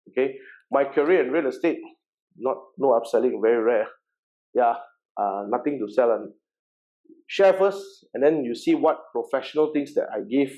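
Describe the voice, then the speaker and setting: A man's voice: mid-range voice, warm tone, poor audio. A man in his forties with a Malaysian accent, giving an informal seminar presentation in a chatty way, repeating words and checking in with "okay". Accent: Malaysian English. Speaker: workshop presenter and real estate agency founder.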